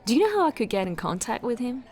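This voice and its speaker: A woman's voice: a little high, very animated, fast talker, darkish tone, some chatter behind. A woman playing a determined, dry-witted police detective in a sci-fi audio drama, with terse, resolute delivery. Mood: dry, probing.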